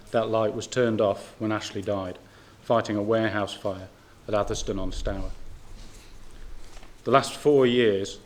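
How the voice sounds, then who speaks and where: A male liaison officer reads a prepared statement aloud at a briefing. His delivery is formal and measured, with a fairly low pitch and very little rise or fall. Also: slight background noise. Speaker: police family liaison officer. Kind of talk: reading a statement on behalf of a family. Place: room at a press briefing.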